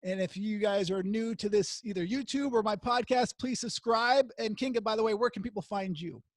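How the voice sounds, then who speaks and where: A man hosting a podcast interview, chatty and asking quick follow-up questions. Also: sits high, no room sound. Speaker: podcast host. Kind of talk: casual interview.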